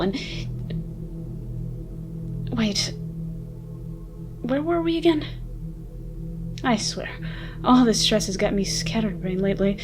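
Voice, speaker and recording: A woman playing a haughty, sardonic ancient vampire with a soft side, speaking in wordy mock-formal lines in a dramatic ASMR roleplay. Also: medium pitch, lightly acted. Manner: theatrical, wordy, dry mock-formal speech. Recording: music behind the voice. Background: music.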